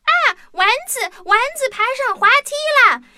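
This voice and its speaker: Small child, storybook audio play. Extremely high, bright, excitable, wide-eyed. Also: female voice, moderate pace, heavily acted. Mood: eager, playful. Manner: exclaiming, wide-eyed, playful.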